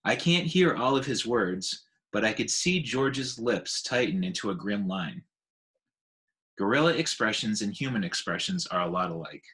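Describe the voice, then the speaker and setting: A man reading a children's novel aloud in an expressive storytelling manner. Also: on the low side, moderate pace. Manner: expressive, with voiced dialogue.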